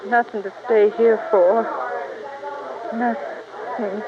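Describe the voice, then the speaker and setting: A defeated old lady in an old radio drama. She speaks haltingly, worn out and resigned, on a dull recording with clear noise. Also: a little high, fairly even intonation. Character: weary, defeated old woman landowner. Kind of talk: 1950s radio drama acting.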